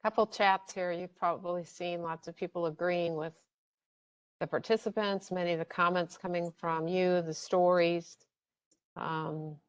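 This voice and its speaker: A woman's voice: low-pitched, no room sound. A woman acting as a webinar chat moderator, giving an unscripted summary of comments.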